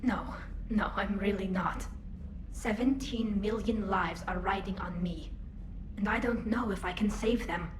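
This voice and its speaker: A woman's voice: medium pitch, fairly even intonation, unhurried, close to an everyday voice. Actress as young alien admiral lady, sincere, hesitant, doubts herself, game cutscene, echoey w/ noise behind. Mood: anxious, burdened. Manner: earnest, hesitant, self-doubting. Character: earnest young alien woman, burdened admiral and software expert. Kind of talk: video game cutscene acting. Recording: roomy echo with clear background noise.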